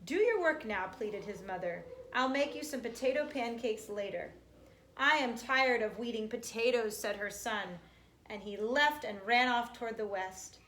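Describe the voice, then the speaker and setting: A woman's voice: fairly high pitch, moderate pace, a bit bright, a little hiss or hum. A woman reading a children's storybook aloud. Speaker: storybook reader. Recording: roomy, echoey home recording.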